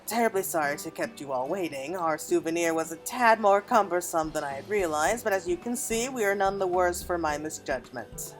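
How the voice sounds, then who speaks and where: Woman reading as a florid, self-satisfied gentleman merchant. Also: on the low side, lively intonation, moderate pace, few pauses, close to an everyday voice. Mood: smug, flattering. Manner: florid, archaic, theatrical storytelling diction. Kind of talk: reading game cutscene dialogue aloud. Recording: game music behind the voice.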